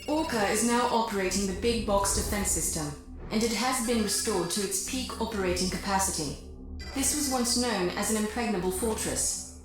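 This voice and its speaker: Actress doing earnest game briefing lady, formal n measured, super echoey w loud music behind. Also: mid-range voice, lightly acted. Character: earnest, formal woman mission operator briefing mercenary pilots. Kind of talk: scripted video game mission briefing.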